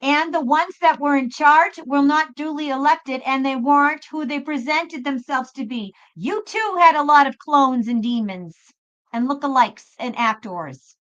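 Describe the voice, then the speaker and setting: Female tarot host, high voice, chatty and emphatic, repeats herself; muffled live-stream sound. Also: measured pace, fairly bright tone. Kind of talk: live spiritual tarot reading, casual chat with viewers. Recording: dull lo-fi live stream audio.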